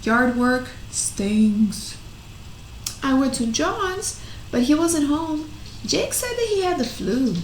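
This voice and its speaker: Woman voicing a grumpy schoolboy, high-pitched and slow. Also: sing-song, heavily acted. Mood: disgruntled. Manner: exaggerated, drawn-out complaining emphasis. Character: grumpy, whiny young schoolboy who complains about everything. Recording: echoey room, faint noise.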